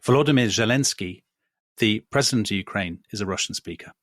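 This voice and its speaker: A man co-hosting a history podcast, talking in an emphatic, lively way with quick restarts and asides. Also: lowish voice, dry recording, studio quality. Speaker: podcast co-host. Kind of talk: casual history podcast chat.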